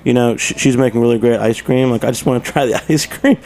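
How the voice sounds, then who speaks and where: A man's voice: mid-range voice, fast talker, darkish tone. A man chatting casually as a guest in a radio interview. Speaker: radio show guest, bar owner.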